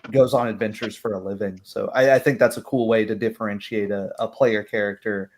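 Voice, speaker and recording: A man's voice: lowish voice, steady tone, a little fast, a little mellow, slight background noise. A man talking casually as a game master after a session, thinking aloud in a rambling way. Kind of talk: casual post-game discussion.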